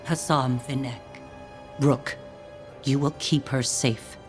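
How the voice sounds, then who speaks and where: A protective mother in a fantasy game. She speaks formally and sternly in measured phrases, over faint music. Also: very deep voice, steady tone.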